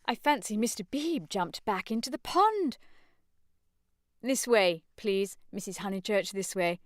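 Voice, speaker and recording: A woman voicing a stiff, haughty young gentleman who speaks in clipped commands. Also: mid-range voice, lively intonation, a little slow, no room sound, studio quality, close to an everyday voice. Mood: commanding.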